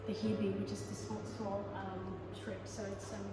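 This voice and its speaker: A woman's voice: medium pitch, flat delivery, normal speed, heavy background noise. A young Filipino woman chef demonstrating a recipe, talking with many ums and restarts. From Philippines. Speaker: guest chef. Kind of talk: cooking demonstration. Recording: echoey, far from the mic, music behind.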